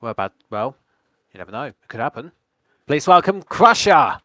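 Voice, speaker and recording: A man hosting a quiz show with measured, formal delivery that sometimes restarts its phrases. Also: mid-range voice, big pitch swings, pushed and bright.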